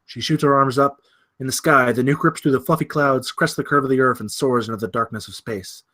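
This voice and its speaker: A man's voice: medium pitch, brisk, pushed and bright. A man narrating scripted stage directions at a film table read.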